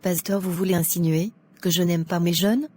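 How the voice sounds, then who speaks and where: Woman acting a blunt, low-voiced judgmental churchwoman. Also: steady tone, moderate pace, darkish tone, a little hiss or hum, lightly acted. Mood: defensive, stern. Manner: outspoken, scolding.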